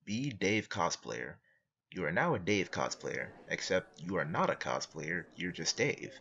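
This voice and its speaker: A man's voice: sits low, sing-song, unhurried, vocal fry, sharp tone, lo-fi sound, a little hiss or hum. A man reading scripted comic narration with a dry, wry manner.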